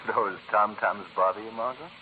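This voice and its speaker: An actor playing a calm, amused, wealthy young man about town in 1940s radio style. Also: on the low side, close to an everyday voice. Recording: tinny, noisy 1940s radio recording.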